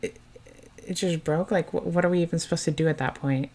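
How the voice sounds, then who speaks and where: Low-voiced woman with a soothing, chatty manner, co-hosting a casual game stream. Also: steady tone, fairly quick, slight background noise. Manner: soft, chatty, laughs often. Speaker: stream commentator.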